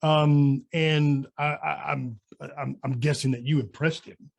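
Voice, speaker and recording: A man's voice: a little high, steady tone, a little slow, gravelly. A male radio host asking questions in a casual interview, eager and tripping over his words. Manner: enthusiastic, stumbling over questions.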